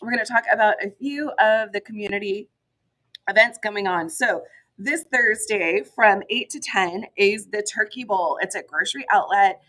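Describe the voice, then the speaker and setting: A woman hosting a local chamber morning show, hoarse from a head cold, talking casually with lots of ums and you-knows. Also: mid-range voice, moderate pace, a bit bright, poor audio. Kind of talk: casual solo update to camera.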